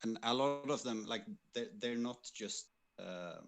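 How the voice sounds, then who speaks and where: A man's voice: mid-range voice, unhurried, gravelly. A man co-hosting a livestream, talking informally and hesitantly as he recounts past work, repeating words while he searches. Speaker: livestream co-host and game developer.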